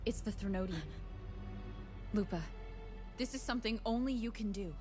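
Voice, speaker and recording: An actress voices a mysterious female mentor in a video game, commanding and sure of herself. Her mid-pitched voice is theatrical and urging, with music clearly playing behind. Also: normal speed, lightly acted. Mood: encouraging. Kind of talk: dramatic video game voice acting.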